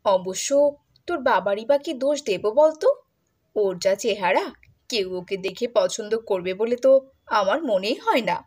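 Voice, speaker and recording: A woman's voice: mid-range voice, expressive, unhurried, darkish tone, slightly rough. Woman playing a cruel, theatrical middle-aged stepmother. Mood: scornful. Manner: scolding, gushing, theatrical. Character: cruel middle-aged stepmother, doting on her own daughter, scornful. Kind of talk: Bengali cartoon dubbing.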